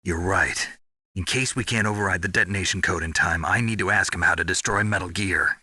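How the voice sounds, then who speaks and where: A world-weary special forces veteran from a video game. His voice is deep and gravelly with a hard, very sharp edge, recorded close and dry. He speaks in terse, deadpan phrases. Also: fairly quick, dry recording, heavily acted. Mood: grim, businesslike. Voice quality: gravelly, husky. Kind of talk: dramatic video game voice acting.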